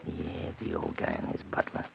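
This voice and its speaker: An actor playing a pushy, callous burglar who talks in bullying slang in a 1940s radio crime drama. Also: male voice, extremely low pitch, very animated, moderate pace, gravelly, heavily acted. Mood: hushed, urgent. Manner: slangy, bullying tough-guy talk. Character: hard-boiled male burglar and killer, pushy and callous. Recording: muffled, noisy old radio recording.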